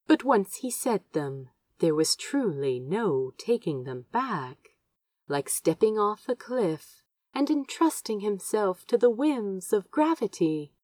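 Woman reading fanfic aloud, takes her time, tender and dramatic, pitch moves around a lot. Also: a little mellow, dry recording. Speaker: amateur audiobook reader. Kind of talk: expressive fan fiction narration.

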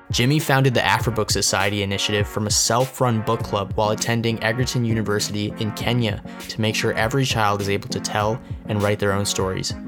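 A man hosting a podcast, asking inviting, clearly paced questions and reading a scripted introduction. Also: on the low side. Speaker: podcast host. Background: guitar music.

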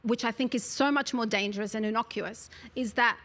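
A woman, speaking as an interview guest who talks about her book in an articulate, earnest way and often fills pauses with "you know". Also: a little high, fairly bright tone. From Australia. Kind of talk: conversational interview.

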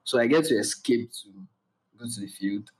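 A young man, chatting casually and telling stories in a relaxed way, laughing along. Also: mid-range voice, moderate pace, far from the mic, low-quality recording. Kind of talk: casual chat.

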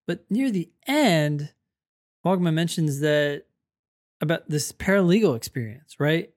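Casual, higher-voiced man hosting a podcast. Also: animated, unhurried. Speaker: podcast host. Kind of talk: casual advice discussion.

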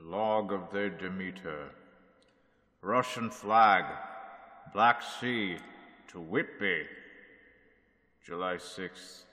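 A slow, low-voiced sea captain, played by a man recites his log in clipped, weary entries. His bright tone rings with a long hall reverb, like an old radio play. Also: heavily acted. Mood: grim. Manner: clipped log-entry delivery. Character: weary ship captain keeping a grim log. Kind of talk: 1930s radio drama reenactment.

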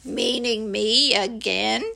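Woman as a slow, gloomy old donkey. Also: fairly high pitch, creaky, a little hiss or hum, full character voice. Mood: mournful deadpan. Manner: slow, deadpan, mournful.

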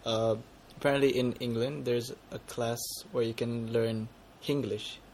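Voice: mid-range voice, steady tone, unhurried, darkish tone, a little hiss or hum. A speaker in casual chat, thoughtful and a bit hesitant.

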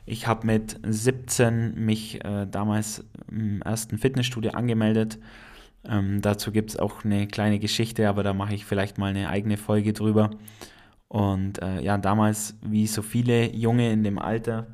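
A man in his late twenties from the Allgäu with a noticeable Allgäu accent, hosting a casual solo podcast in a relaxed, chatty way with many hesitations. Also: fairly low pitch, measured pace. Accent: Allgäu dialect. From Allgäu, Germany. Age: 20s. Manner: relaxed and chatty, frequent hesitations, laughs at himself. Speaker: podcast host.

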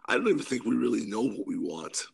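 A man co-hosting a casual podcast discussion, hoarse from a cold, talking through list points with whispery asides. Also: mid-range voice, very animated, quick pace. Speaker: podcast co-host.

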